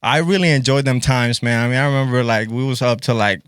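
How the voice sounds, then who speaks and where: A man in his forties from Guatemala co-hosts a relaxed podcast. His voice is smooth and resonant, sits at a mid pitch, and comes through dry and close to the mic. He talks easily, dropping "bro" and "man" into nearly every line. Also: quick pace, no room sound. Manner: laid-back, says "bro" and "man" constantly. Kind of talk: casual podcast chat.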